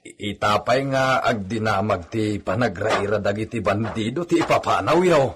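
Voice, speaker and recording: A man acting a loyal, nervous servant in a radio drama, husky and hesitantly deferential. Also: lowish voice, moderate pace, background noise, lightly acted.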